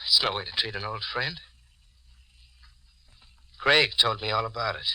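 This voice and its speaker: A man's voice: lowish voice, a little slow, heavy background noise, close to an everyday voice. An American actor playing a bitter, framed man turned killer in mocking, menacing 1940s radio thriller style. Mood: cold menace. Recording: vintage 1940s radio broadcast.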